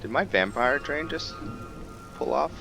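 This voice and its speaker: A man giving casual gameplay commentary on a roleplay, thinking aloud and often pausing to find his words. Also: mid-range voice, sing-song, music in the background. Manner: musing, thinking aloud with frequent hesitations. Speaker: gaming video host.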